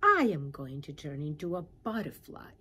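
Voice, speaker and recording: Woman as a self-assured, breathy lady caterpillar. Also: sits low, wildly swooping pitch, normal speed, sharp tone, pitched below the performer's own voice, full character voice. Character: self-assured female caterpillar, patient and a bit reluctant.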